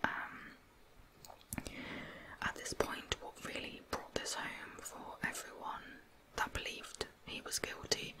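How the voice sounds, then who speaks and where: A woman narrating true crime in an unvoiced ASMR whisper, soft-spoken and informal as she explains. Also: poor audio.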